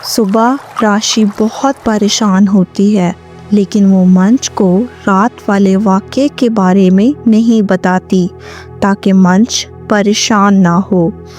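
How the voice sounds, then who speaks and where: Slow-paced woman storyteller over music. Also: medium pitch, steady tone, a little mellow. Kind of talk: scripted story narration.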